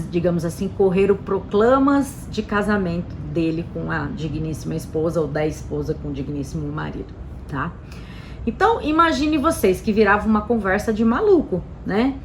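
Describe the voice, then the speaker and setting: Brazilian woman, mid-pitched, chatty explainer, light noise behind. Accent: Brazilian Portuguese. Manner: chatty, frequent "né" and "tá" tags, animated emphasis. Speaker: Italian citizenship specialist presenting a channel. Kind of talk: casual explanatory talk to camera.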